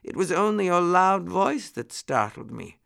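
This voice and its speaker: A man's voice: high voice, very animated, unhurried, creaky, a bit bright, voice pushed up, heavily acted. A man voicing a fussy, ailing lady muskrat housekeeper in a plaintive manner for a children's storybook. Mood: polite, apologetic.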